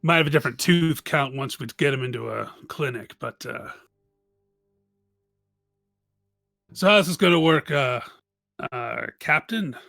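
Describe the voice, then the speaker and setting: A man acts out an easygoing tavern bouncer in a tabletop fantasy game. He is cocky, friendly and wry, with a casual middle-pitched voice. Music sits behind the talk. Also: expressive, normal speed, creaky, bright, forceful tone, dry recording, studio quality.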